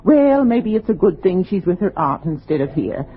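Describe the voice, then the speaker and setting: An actress playing an anxious, quick-tempered middle-aged housewife in a 1940s radio drama, in a fretful, hesitant, theatrical way. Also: female voice, lowish voice, sing-song, husky. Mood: fretful, bitter. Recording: dull, noisy 1940s radio recording.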